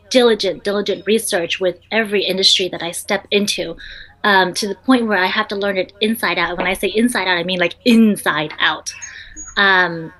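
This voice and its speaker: A woman's voice: mid-range voice, a bit bright, low-quality recording. A young woman entrepreneur, a native Vietnamese speaker, answering interview questions in a chatty way full of ums and restarts.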